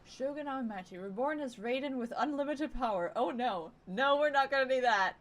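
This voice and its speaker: A woman's voice: high-pitched, animated, fairly quick. A woman game streamer, a non-native English speaker, reading dialogue aloud and commenting playfully. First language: not English. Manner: playful, self-interrupting. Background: video game music.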